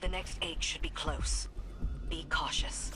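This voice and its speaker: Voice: mid-range voice, steady tone, measured pace, lightly acted. Someone playing a guarded sci-fi game fighter, short earnest lines, music blaring underneath. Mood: focused, cautious. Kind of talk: scripted video game dialogue.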